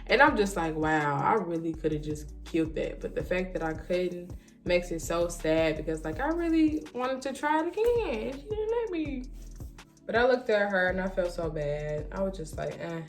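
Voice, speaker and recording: A young woman chatting casually to the camera as a vlogger, animated, slangy and emphatic, often repeating herself. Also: on the low side, moderate pace, faint music behind. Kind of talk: casual storytime chat to camera.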